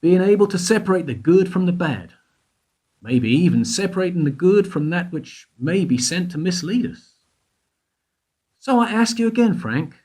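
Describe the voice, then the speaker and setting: Radio drama: smug, probing male interrogator, acted, swooping high-pitched delivery, insinuating. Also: expressive, a little mellow, husky. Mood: smug, suspicious. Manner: insinuating, leading questions with pointed pauses. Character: probing, self-satisfied male investigator questioning a suspect. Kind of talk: radio play dialogue.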